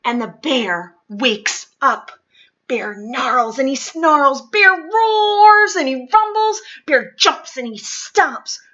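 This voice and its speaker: High, very animated woman reading a storybook. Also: highs cut off. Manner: dramatic, deliberate. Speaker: storybook reader. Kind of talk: expressive children's read-aloud.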